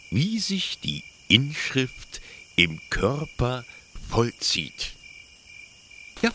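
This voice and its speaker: An actor playing a zealous military officer who explains things eagerly, haltingly and pedantically in a German radio play. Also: male voice, medium pitch, sharp tone, a little hiss or hum, heavily acted. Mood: eager, pedantic. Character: zealous male military officer proudly explaining his execution machine.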